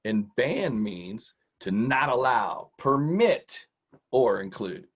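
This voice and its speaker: A man's voice: medium pitch, big pitch swings, takes it slowly, breathy edge. A middle-aged man hosting an informal, encouraging vocabulary lesson for children in a folksy, casual way. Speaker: host, teacher.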